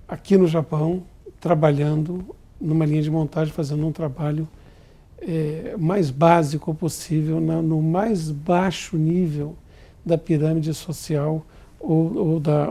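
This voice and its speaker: Gravelly, dark-voiced male diplomat. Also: fairly high pitch. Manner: measured, formal and deliberate. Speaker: Brazilian diplomat in Tokyo. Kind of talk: formal television interview.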